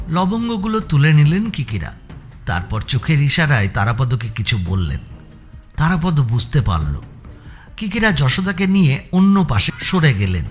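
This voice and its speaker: A man narrating a scripted suspense audio story, with deliberate, descriptive phrasing. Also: fairly high pitch.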